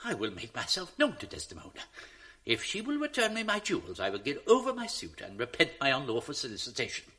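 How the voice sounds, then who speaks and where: An actor playing a foolish, aggrieved young suitor complaining indignantly in a radio drama of classical verse. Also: male voice, medium pitch, wildly swooping pitch, fast, bright, forceful tone, exaggerated voice.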